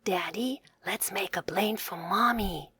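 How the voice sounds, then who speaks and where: A woman voicing an affectionate, playful young boy in a children's storybook, speaking eagerly in simple, expressive phrases. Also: mid-range voice, unhurried, husky, no room sound, exaggerated voice. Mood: hushed, asking. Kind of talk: children's storybook read-aloud.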